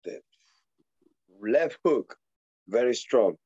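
Puerto Rican man in halting, animated English. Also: medium pitch, slightly rough, dry recording, dull audio. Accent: Puerto Rican Spanish. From Puerto Rico. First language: Spanish. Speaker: boxer guest. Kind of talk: casual interview chat.

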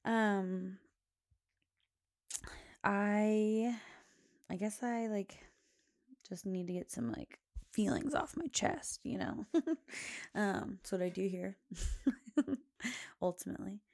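Loud, gravelly-voiced woman host. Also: mid-range voice, lively intonation, slow pace, long pauses, dry recording, clean studio sound. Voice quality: loud, carrying voice. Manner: rambling, with many fillers and frequent laughs. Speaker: podcast host. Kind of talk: casual chat.

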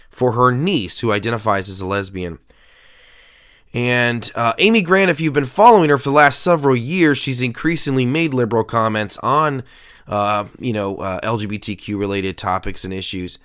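A man's voice: mid-range voice, a bit bright, clean tone. A man who pastors and hosts a faith podcast, talking in an earnest, emphatic way with a nasal voice and frequent filler sounds. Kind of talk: podcast sermon talk.

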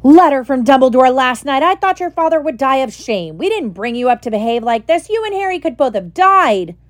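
A woman's voice: very animated, fairly quick. A woman doing a shrieking furious mother. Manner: shrill scolding yells. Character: furious mother shouting by letter.

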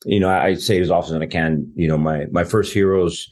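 A man's voice: sits low, steady tone, a little fast, a little mellow. A man from southern New Mexico, a podcast guest, talking earnestly with many fillers and restarts. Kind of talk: conversational interview.

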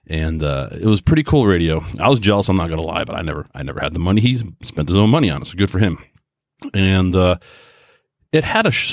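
A man's voice: low voice, no room sound, studio quality. An American man from New York hosting a casual solo podcast, talking in a rambling, folksy, enthusiastic way about his hobby. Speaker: podcast host and radio hobbyist.

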